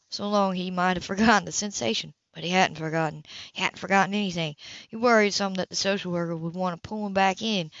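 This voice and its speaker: A woman's voice: medium pitch, a bit bright, no room sound, muffled recording. A woman reading a novel aloud as an amateur audiobook narrator. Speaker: audiobook reader.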